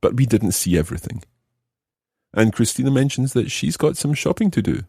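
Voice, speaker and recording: A man's voice: mid-range voice, expressive, moderate pace, gravelly, a little mellow, breathy edge, dry recording, studio quality. A man teaching a language lesson, explaining and translating phrase by phrase with careful enunciation. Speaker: language teacher, podcast host.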